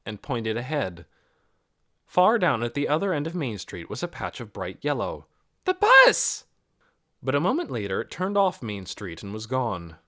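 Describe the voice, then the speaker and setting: Audiobook reader telling a story, medium pitch, really animated and dramatic delivery. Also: normal speed, no echo, clean studio sound. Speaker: audiobook narrator. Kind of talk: scripted novel narration.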